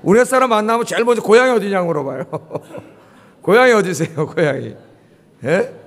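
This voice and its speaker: A man's voice: sits high, animated, a little fast. A man in his fifties from Seoul, preaching a sermon to a church congregation in a chatty way, often asking them questions. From Seoul, South Korea. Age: 50s. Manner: conversational, asks the congregation questions. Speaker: pastor. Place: church sanctuary. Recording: long hall reverb.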